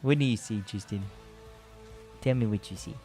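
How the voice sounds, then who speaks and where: A man's voice: fairly low pitch, normal speed, dark tone, soft background music. Teasing young man hosting a radio talk show. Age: 20s. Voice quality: teasing, loose and rambling.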